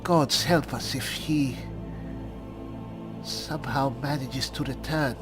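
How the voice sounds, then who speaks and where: A man roleplaying an earnest, worried cleric adventurer in a fantasy game, musing aloud in a halting manner. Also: medium pitch, steady tone, lightly acted. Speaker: gamer playing a roleplay. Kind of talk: in-character improvised roleplay narration. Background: music.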